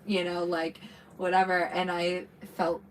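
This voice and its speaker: A woman's voice: lowish voice, completely flat delivery, unhurried, a little hiss or hum, low-quality recording. A woman with a nasal voice hosting a casual live chat, reacting to her guest and reading viewer comments aloud.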